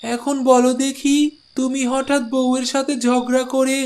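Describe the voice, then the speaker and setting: A man's voice: extremely high pitch, little pitch movement, moderate pace. A man voicing a kindly village cart driver in a cartoon, speaking in a reassuring, neighbourly way. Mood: inquiring. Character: helpful village bullock-cart porter, kindly male friend. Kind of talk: Bengali cartoon dialogue. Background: soft music.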